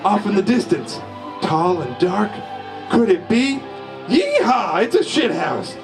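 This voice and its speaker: A man reading a comic first-person story aloud in a slow, folksy deadpan drawl at a live comedy show. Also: sits high, creaky, over music, a crowd in the background. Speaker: storyteller reading his father's short story.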